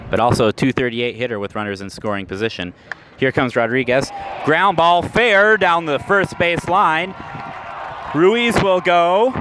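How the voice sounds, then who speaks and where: A man's voice: a little high, measured pace. American baseball play-by-play man, crowd behind. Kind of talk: live radio sports commentary. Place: outdoor minor league ballpark. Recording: outdoor ballpark, crowd and background noise. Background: stadium crowd and ambient noise.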